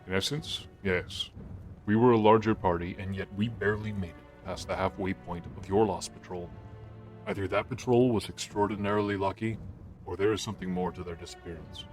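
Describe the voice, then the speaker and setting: A man playing a haughty, commanding holy knight lord in a fantasy audio drama, with measured, formal, imperious speech. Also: low voice, steady tone, faint music behind, lightly acted. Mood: coolly appraising.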